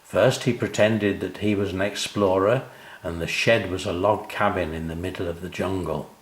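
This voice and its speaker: An older man narrating a children's story, unhurried and expressive, speaking directly to young listeners. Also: low voice, echoey room.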